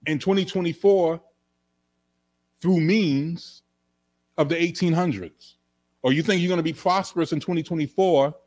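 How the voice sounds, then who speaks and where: A middle-aged man, a pastor, teaching from the Bible in an emphatic sermon style, repeating his points with animated gestures, recorded in a small indoor studio on a desk stand mic. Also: a little high. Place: small indoor studio room with couch and curtain. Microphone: desk stand microphone.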